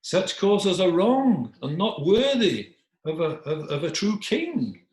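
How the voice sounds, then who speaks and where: A man's voice: high voice, sing-song, takes it slowly, darkish tone, roomy sound, pitched above the performer's own voice, exaggerated voice. A man voicing a wise, bold storybook dog who courteously and formally admonishes a king. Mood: solemn reproach.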